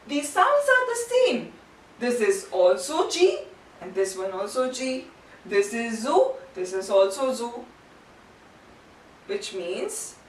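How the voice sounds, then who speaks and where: A woman teaching a language lesson in a didactic way, posing questions and answering them herself. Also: fairly high pitch. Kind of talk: instructional lesson, explaining and drilling sounds. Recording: roomy echo, distant mic, faint noise.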